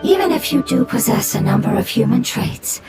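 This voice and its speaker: A woman's voice: fairly high pitch, very animated, creaky. A woman voicing a small talking fungus creature who speaks formally and takes everything literally. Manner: formal, measured, oddly literal. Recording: game audio over loud music.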